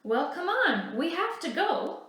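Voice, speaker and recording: A woman voicing a friendly, urgent young male stranger in a read-aloud story, brisk and informal. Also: very high voice, very animated, a bit bright, heavy reverb, voice pushed up, full character voice.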